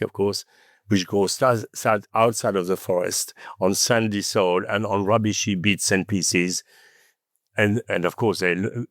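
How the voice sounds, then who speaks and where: French-accented man telling animated stories. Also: lowish voice, no room sound, studio quality. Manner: animated storytelling, tags remarks with "okay?" and "you know". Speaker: podcast guest. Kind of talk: conversational interview.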